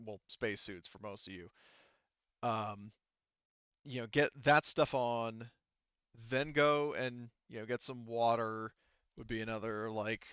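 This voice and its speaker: A man speaking as game master, explaining a tabletop roleplaying game in a casual, halting way. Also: mid-range voice, a bit bright, clean tone, no echo, muffled recording.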